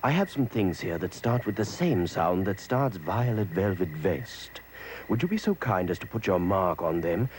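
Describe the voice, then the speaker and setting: An actor playing a genial, scheming letter puppet hero with polite, theatrical phrasing in a children's educational show. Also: male voice, low-pitched, big pitch swings, normal speed, slight background noise. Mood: polite, flattering. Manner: polite, theatrical old-fashioned exclamations.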